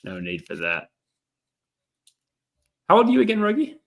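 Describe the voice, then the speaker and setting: A man in his thirties co-hosting a football watchalong in casual chat, relaxed and quick to laugh. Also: sits high, gravelly. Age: 30s. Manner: relaxed, laughs easily, thinks aloud. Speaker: co-host of a live football watchalong.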